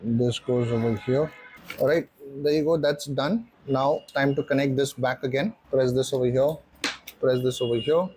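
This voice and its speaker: A man's voice: mid-range voice, steady tone, moderate pace, mellow, slight background noise. A man presenting a casual vlog walkthrough, explaining things step by step. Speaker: motorcycle vlogger and presenter. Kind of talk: casual unboxing and installation walkthrough.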